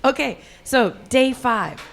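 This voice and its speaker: A woman co-hosting a cycling podcast in casual chat, her voice a little hoarse, laughing often. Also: high voice, expressive, slow pace, faint music behind. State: slightly hoarse. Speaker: podcast co-host.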